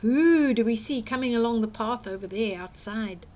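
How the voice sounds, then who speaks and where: A woman reading a fairytale aloud to young children, dramatic and expressive, exclaiming and putting questions to the listener. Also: a little high, normal speed, a little hiss or hum. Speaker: children's storyteller.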